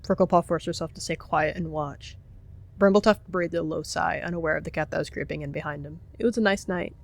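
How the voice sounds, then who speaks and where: Casting: roleplay narrator with a medium-pitched voice, dramatic third-person storytelling. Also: a little fast, gravelly.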